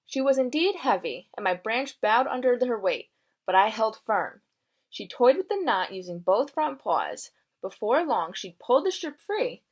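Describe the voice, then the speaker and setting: A woman teacher giving a read-aloud lesson, narrating a children's novel in an expressive, instructive way. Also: a little high, moderate pace, dull audio.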